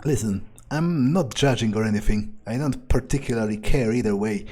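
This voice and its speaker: A man's voice: on the low side, normal speed, a little mellow. A man doing in-character gameplay commentary, thinking aloud in a nonchalant, rambling way with casual swearing. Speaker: let's player.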